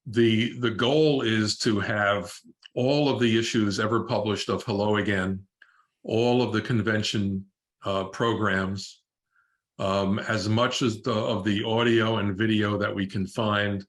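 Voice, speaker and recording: An older man speaking informally and haltingly on a group video call, giving remembrances and club announcements. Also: on the low side, steady tone. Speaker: club member presenting a tribute.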